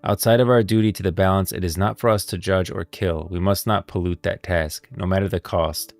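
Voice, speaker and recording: A man's voice: low voice, steady tone, a little fast, dry recording. A man reading comic dialogue aloud as a stern, principled master of a spiritual order, solemn and declarative. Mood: solemn, firm.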